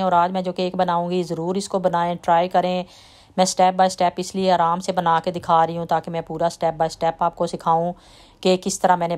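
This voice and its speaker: A woman with a slight lilt, chatting in a relaxed way as she walks through a home baking recipe step by step. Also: on the low side. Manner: relaxed, chatty, trails off softly at sentence ends. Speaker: home cooking vlogger. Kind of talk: casual step-by-step baking talk.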